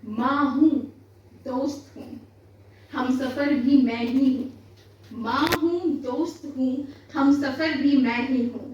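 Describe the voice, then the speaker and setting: A woman's voice: sits high, slow pace, a little mellow, dull audio. A woman reciting poetry in a declamatory manner, repeating lines for emphasis. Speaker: poet reciting own poem. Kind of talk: Hindi poetry recitation before listeners. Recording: roomy echo, distant mic, faint noise.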